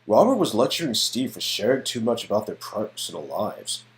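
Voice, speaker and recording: A man acts out a skeptical, wry friend in a story game. The voice sits fairly low but swings widely in pitch, with exclamations and hesitant asides. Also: very animated, moderate pace, rough recording. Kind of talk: amateur dramatic reading of game dialogue.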